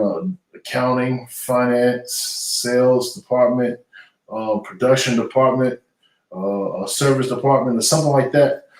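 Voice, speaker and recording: A man's voice: medium pitch, darkish tone. Earnest man, echoey and off-mic. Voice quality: plain, earnest, with many fillers and restarts. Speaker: guest, retired boxer turned businessman. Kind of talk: conversational interview. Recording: echoey room audio, voice from far off the mic.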